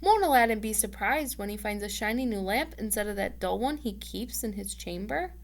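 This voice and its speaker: A woman's voice: medium pitch, animated, moderate pace, close to an everyday voice. Woman playing a sweetly cunning young princess. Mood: eager.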